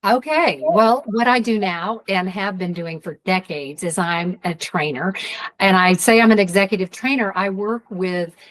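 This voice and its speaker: A middle-aged woman, an executive trainer, talking as an interview guest, lively and quick to laugh. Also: mid-range voice, rough recording. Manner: lively, laughs often, self-deprecating. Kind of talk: casual interview chat.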